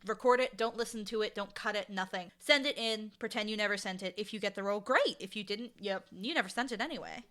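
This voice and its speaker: A woman's voice: medium pitch, quick pace, few pauses, a bit bright. A woman chatting casually as an interview guest, talking in an enthusiastic, emphatic way. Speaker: interview guest, audio drama showrunner and dialogue editor.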